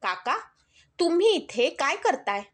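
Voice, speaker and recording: A woman voicing a humble, kind young tea seller in a story, speaking gently and earnestly. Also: high voice, sing-song, unhurried, voice pushed up. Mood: kind, caring.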